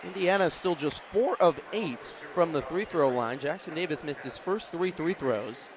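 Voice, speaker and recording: A man's voice: on the high side, lively intonation, normal speed. An American man calling live basketball on the radio as play-by-play announcer, in clipped, descriptive phrases. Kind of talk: live radio sports commentary. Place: courtside in a large indoor arena. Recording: crowd and faint arena noise. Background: basketball crowd, bouncing ball.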